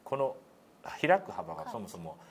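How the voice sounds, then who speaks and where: A man's voice: on the high side, big pitch swings, normal speed, creaky. A man giving a one-on-one English pronunciation lesson in an encouraging way, full of praise, demonstrating sounds. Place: meeting room, indoors. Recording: noisy meeting-room recording.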